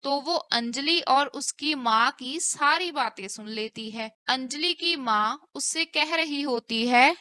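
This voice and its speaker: A woman's voice: sits high, slow pace, few pauses, pushed and bright. A woman narrating a scripted cartoon story.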